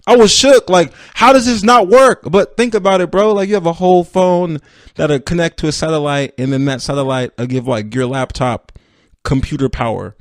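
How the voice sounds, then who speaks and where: A man's voice: fairly high pitch, normal speed, no room sound. Chatty young man on a dry mic. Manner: rambling, chatty, self-deprecating, addresses listeners directly. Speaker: podcast host. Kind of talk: casual solo sports podcast.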